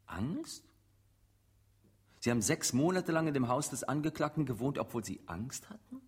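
A sceptical defence lawyer in a courtroom radio play. His male voice is mid-pitched and slightly rough, formal and pointed, pressing each point insistently. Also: very animated, moderate pace. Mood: sceptical, probing. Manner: pointed, incredulous courtroom questioning. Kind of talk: German radio drama acting.

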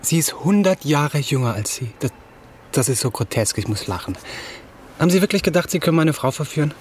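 An actor playing a proud, wounded young writer in a radio drama, cutting and mocking, halting and repeating words in agitation. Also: male voice, fairly high pitch, expressive, slight background noise, natural voice. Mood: incredulous, scornful. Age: young adult.

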